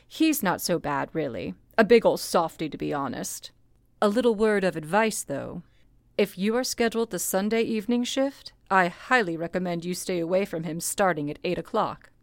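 Radio play: brisk, wry female chief operator, acted by a woman, lowish pitch, instructive and confiding. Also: lively intonation, dry recording. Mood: amused, confiding. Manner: dry-humoured, confiding, instructive. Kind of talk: old-time radio play acting.

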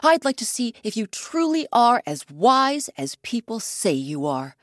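A woman's voice: fairly high pitch, very animated, measured pace, dry recording, studio quality, voice pushed up. A woman voicing a proud, jealous king in a storybook tale, haughty and demanding.

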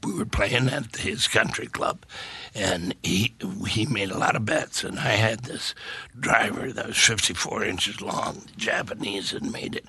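A man's voice: on the low side, a little slow, pushed and bright, no room sound. A man in his seventies, an actor telling rambling stories in a casual interview and laughing at his own jokes. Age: 70s. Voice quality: gravelly, husky. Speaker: actor guest.

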